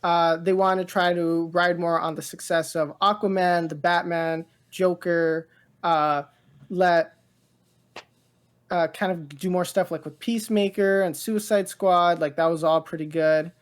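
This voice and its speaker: A woman co-hosting a casual pop culture podcast, rambling and halting as she thinks aloud. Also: on the low side, fairly even intonation. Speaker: podcast co-host.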